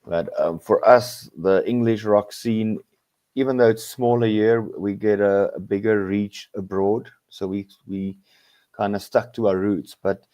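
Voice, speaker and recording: A South African man whose first language is Afrikaans, a rock musician guest talking in a halting way with many pauses and restarts. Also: lowish voice, steady tone, warm tone, no room sound. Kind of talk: casual interview chat.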